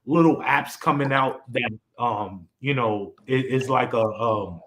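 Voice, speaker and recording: A man's voice: mid-range voice, unhurried, fairly bright tone, slight background noise. Young male streamer host, loose rambling delivery, echoey room sound. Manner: laid-back and rambling, with many fillers and restarts. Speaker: podcast host. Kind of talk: casual live-stream chat.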